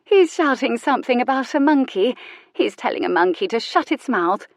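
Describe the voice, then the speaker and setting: Woman doing a fluttery older lady of rank, dreamy, exclaiming, pitched well up, lively. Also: normal speed, no room sound. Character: dreamy, fluttery older noblewoman, easily flustered.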